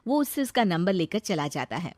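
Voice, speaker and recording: A woman's voice: fairly low pitch, sing-song, fairly quick, darkish tone, no echo. A woman narrating a scripted, dramatised story as its storyteller.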